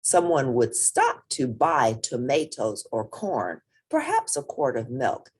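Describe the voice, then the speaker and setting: A woman reading a children's picture book aloud as an expressive storyteller. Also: very deep voice, slow, husky.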